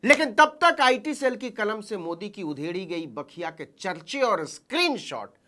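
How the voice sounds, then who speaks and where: A woman's voice: medium pitch, very animated, normal speed, pushed and bright. A woman commentator delivering satirical political commentary. Her voice sits in a middle register, but it swoops up and down a great deal. She sounds mocking and theatrical. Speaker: news commentator.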